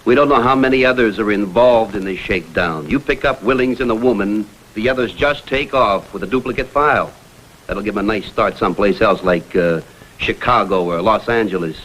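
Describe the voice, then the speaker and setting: An actor playing a cool, deliberate investigator, talking in a measured, matter-of-fact way in a vintage crime drama. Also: male voice, on the low side, a bit bright, slight background noise, close to an everyday voice, rough recording. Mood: dry, explaining.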